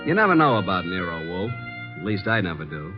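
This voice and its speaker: Low-voiced wisecracking detective's sidekick, vintage radio. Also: male voice, sing-song, brisk. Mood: puzzled. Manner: wry, breezy, smooth-talking. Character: flirtatious wisecracking male detective's assistant, narrating the case. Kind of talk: 1940s-50s radio detective drama acting and narration. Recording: dull vintage radio recording, music.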